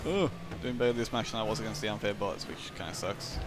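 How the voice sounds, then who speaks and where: A man, hoarse and losing his voice, chatting casually and tiredly while streaming a game. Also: quick pace, music in the background. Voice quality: croaky. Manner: low-energy, tired. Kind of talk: casual gaming chat.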